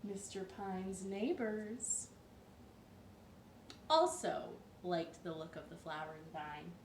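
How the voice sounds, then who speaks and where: A woman hosting a children's storytime from her living room, telling the story in a playful, expressive and emphatic way. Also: on the low side, slow pace. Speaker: storytime host. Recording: roomy echo, distant mic, light noise.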